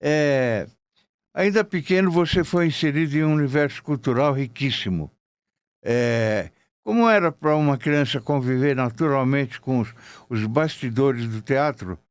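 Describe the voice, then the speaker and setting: A man's voice: medium pitch, expressive, a little slow, clear, resonant tone, no echo. A man with a Brazilian accent hosting a casual interview, conversational, with short affirming interjections. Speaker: podcast host and interviewer.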